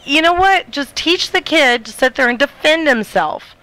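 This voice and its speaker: A woman's voice: high voice, expressive, normal speed, sharp tone, low-quality recording. A woman co-hosting a talk show with casual banter and a blunt, opinionated way of talking.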